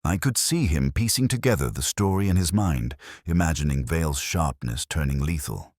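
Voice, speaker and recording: A man playing a brooding, introspective police detective, narrating his case as a measured, solemn first-person story. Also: extremely low pitch, animated, a little mellow, no echo, clean studio sound.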